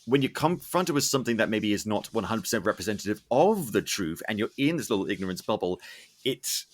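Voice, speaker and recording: A man hosting a casual podcast interview, talking in an earnest, rambling, animated way. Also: on the low side, brisk. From Scotland, UK. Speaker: podcast host. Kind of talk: casual interview chat, opinionated.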